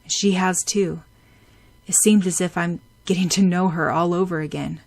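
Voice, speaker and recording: A woman voicing a gruff, protective young man who speaks in a low-key way. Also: fairly even intonation, normal speed. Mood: brooding.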